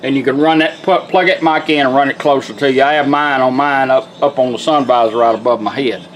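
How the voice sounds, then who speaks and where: A man's voice: mid-range voice, fairly even intonation, a little fast, low-quality recording. A man giving a casual hands-on product demonstration in a plain-spoken, folksy, step-by-step way.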